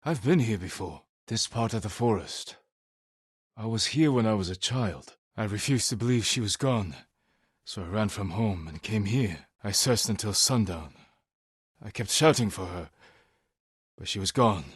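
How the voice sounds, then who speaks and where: An actor playing a grave, honor-bound young samurai lord in a video game, speaking in a measured, restrained way with short, solemn sentences. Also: male voice, on the low side, expressive, husky, no echo, studio quality. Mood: wistful, troubled.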